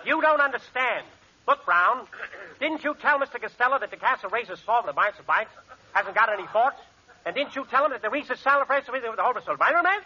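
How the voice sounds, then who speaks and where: A man's voice: very high voice, lively intonation, pushed and bright, heavily acted. A man doing a double-talking radio manager. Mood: pompous. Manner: confident rapid double-talk. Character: fast-talking male manager spouting double-talk gibberish. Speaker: hotel manager. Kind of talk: 1940s radio comedy acting. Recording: hissy lo-fi old radio recording.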